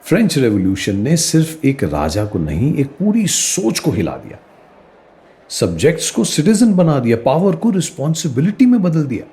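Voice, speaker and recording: Friendly indian prof in a radio drama, dark warm voice, really animated delivery. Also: male voice, a little high. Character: friendly male professor explaining history at tea stall. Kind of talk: scripted radio play dialogue.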